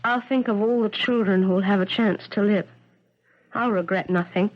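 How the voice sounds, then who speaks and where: An actress playing an earnest, self-sacrificing woman professor in a 1970s radio drama, speaking haltingly with dramatic phrasing. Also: medium pitch, close to an everyday voice. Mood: anguished, resolute. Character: earnest, self-sacrificing female professor, anguished and secretly lovelorn. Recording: dull vintage radio broadcast sound.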